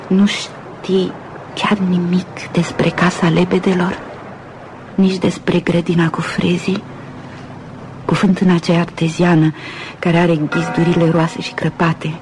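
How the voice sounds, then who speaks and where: An actress playing a dreamy, romantic upper-class woman in a Romanian radio drama, speaking softly and musingly with pauses. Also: fairly low pitch, noisy background, natural voice. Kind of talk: Romanian radio theatre acting.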